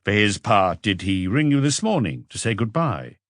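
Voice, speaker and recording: Man playing a calm, probing police inspector, low-key. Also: dry recording, studio quality. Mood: measured. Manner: measured, terse questioning.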